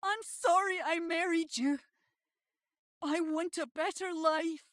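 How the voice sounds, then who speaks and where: A woman's voice: extremely high pitch, fairly even intonation, slow, fairly bright tone, no echo, studio quality, exaggerated voice. A woman voicing an unhappy, reproachful young wife in a visual novel, with stilted, plaintive delivery. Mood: resigned, bitter. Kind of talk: scripted visual novel dialogue.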